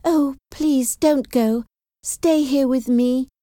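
A woman's voice: medium pitch, lively intonation, slow, dark tone, dry recording, studio quality, pitched above the performer's own voice. A woman voicing a sweet, trusting young woman in a storybook, with an earnest, gushing manner. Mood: pleading.

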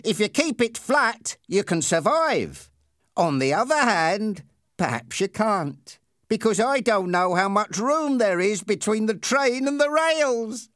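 A man's voice: sits high, lively intonation, slow, no room sound, clean studio sound, voice pushed up, heavily acted. A man voicing a cruel, bossy boy bully who barks threatening orders in an audiobook story. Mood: cruel taunting. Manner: shouting, threatening commands.

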